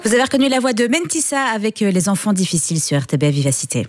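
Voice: medium pitch, very animated, very fast, music in the background. A radio host interviewing a guest in a casual way, laughing often and restarting questions.

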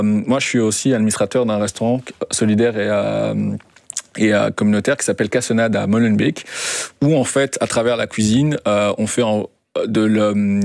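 A man's voice: on the low side, vocal fry, darkish tone, no echo. A young adult man from Belgium, giving a conversational interview as a guest, hesitating and repeating words as he searches for phrasing. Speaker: interview guest, documentary maker.

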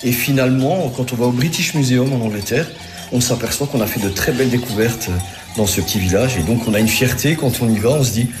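A man's voice: medium pitch, fairly quick, music in the background. A middle-aged man from Belgium with a Belgian French accent, chatting casually and reminiscing in a colloquial way. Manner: colloquial, uses conversational tags. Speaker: interviewee recounting family memories.

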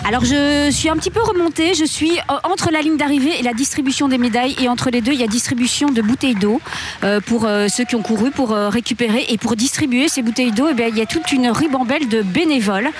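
Brisk reporter outdoors, noisy. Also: female voice, high-pitched. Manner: brisk and friendly, asks quick questions. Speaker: field reporter. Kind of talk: live on-site reporting. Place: outdoors at a race finish area.

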